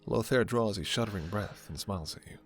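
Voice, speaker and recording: A man's voice: lowish voice, fairly quick, soft background music. A man reading scripted narration in a fantasy audio roleplay. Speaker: narrator and voice performer.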